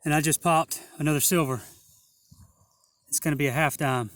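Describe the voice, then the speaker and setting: Hoarse, excited man. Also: on the high side. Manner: excited, slow and awed, many exclamations. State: hoarse, losing his voice. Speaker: metal detecting vlogger. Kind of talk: casual outdoor narration to camera. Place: open field outdoors.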